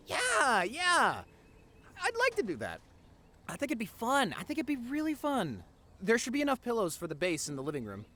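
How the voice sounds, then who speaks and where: A man voicing a nerdy young boyfriend in an intimate roleplay audio. His pitch swings widely as he stammers eagerly, affectionate and teasing, talking one-on-one to his girlfriend. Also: a bit bright, exaggerated voice.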